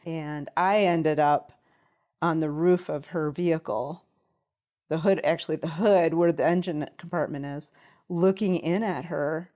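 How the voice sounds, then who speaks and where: A woman's voice: low-pitched, steady tone, unhurried, warm tone. A woman guest on a talk show, telling a personal story in an interview.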